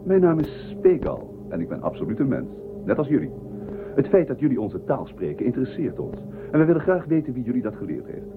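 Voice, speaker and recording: A man's voice: mid-range voice, sing-song, fairly quick, phone-quality sound, natural voice. An actor playing a courteous, self-assured male stranger who escorts captives, formal and emphatic, in a radio play. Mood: polite, assured. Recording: phone-thin audio with music behind.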